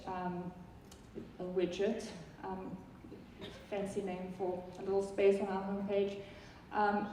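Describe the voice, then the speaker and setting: A woman's voice: mid-range voice, mellow, slight background noise. A woman from South Africa with a South African accent, giving a chatty live product talk to an audience, with many ums and restarts. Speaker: software product presenter. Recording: echoey hall from far off.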